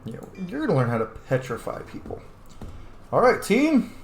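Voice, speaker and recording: A man's voice: high-pitched, huge pitch swings, normal speed. A man giving casual, informal let's-play commentary, thinking aloud as he plays a video game. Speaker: video game player, streamer. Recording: roomy echo, faint background noise.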